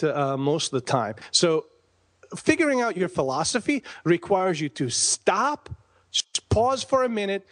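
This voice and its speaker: A middle-aged man from Bosnia talking casually and informally as an interview guest, often tagging his points with a quick check. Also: fairly high pitch, no room sound. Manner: informal, tag questions like "right?" and "man". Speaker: podcast guest, entrepreneur.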